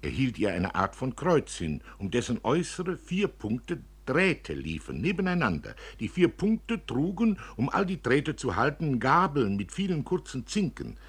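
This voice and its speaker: A man narrating a literary story for radio in an expressive way. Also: medium pitch, a little slow, few pauses, slightly rough.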